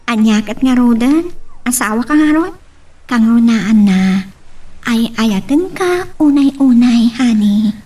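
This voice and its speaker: Radio drama lead: actress as devoted young woman in love, high husky voice, tender and troubled, a little off-mic. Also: expressive, takes it slowly, dark tone, distant mic, exaggerated voice. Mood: tender, sorrowful. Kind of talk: Ilocano radio drama acting.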